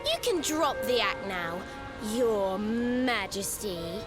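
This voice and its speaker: A woman's voice: mid-range voice, lively intonation, slow, loud music behind the voice. An actress voicing a blunt, feisty young female mage in a video game, with a no-nonsense, colloquial manner. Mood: triumphant, accusing.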